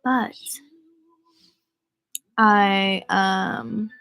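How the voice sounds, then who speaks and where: A woman's voice: mid-range voice, steady tone, very slow, creaky, fairly bright tone, clear, resonant tone, faint music behind, low-quality recording. Stuffy-nosed young American woman rambling casually. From United States. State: stuffy from a cold. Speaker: singer answering fan comments. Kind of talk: casual chat on a livestream.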